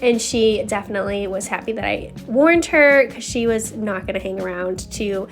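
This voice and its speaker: A woman's voice: medium pitch, animated, normal speed. A woman giving a casual, confiding voice-over narration as a home vlogger, talking straight to viewers with a slightly scratchy voice. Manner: chatty, confiding, addresses viewers directly. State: slightly scratchy voice, needs to clear throat. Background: background music.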